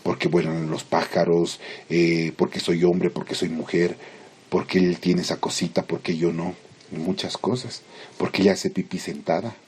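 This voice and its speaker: A man lecturing in Spanish as a teacher, explaining theory informally in halting, didactic phrases with check-in questions. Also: sits low, level tone, darkish tone, breathy edge. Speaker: psychology lecturer.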